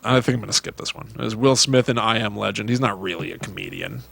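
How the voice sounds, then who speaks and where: An American man co-hosting a podcast, casually retelling stories in an animated way. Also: fairly low pitch, quick pace, gravelly. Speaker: podcast co-host. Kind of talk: casual chat, retelling films and TV.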